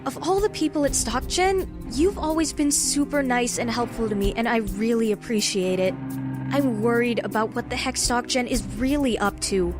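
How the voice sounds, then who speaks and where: A woman's voice: on the high side, moderate pace, music in the background, close to an everyday voice. A woman playing a brave, earnest young lab intern in a comic-book audio drama, talking in a conversational, coaxing way. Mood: pleading.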